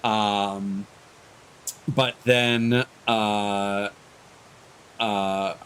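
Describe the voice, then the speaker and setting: A man with a somewhat deep voice talks off the cuff as a game streamer. His delivery is hesitant and discursive, doubling back on phrases. A light background hiss runs under the recording. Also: flat delivery, very slow, fairly bright tone. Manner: hesitant, discursive, stop-and-start phrasing, casual swearing. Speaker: video game streamer, host. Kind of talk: casual rambling chat while streaming a game.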